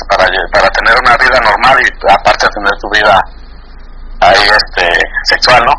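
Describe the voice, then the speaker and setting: A man's voice: fairly low pitch, steady tone, normal speed, bright, forceful tone, a little hiss or hum, low-quality recording. A man with a Mexican accent from Mexico City, calling in to a radio show and talking hesitantly with many fillers and restarts. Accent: Mexican Spanish. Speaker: caller. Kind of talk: phone call to a radio show.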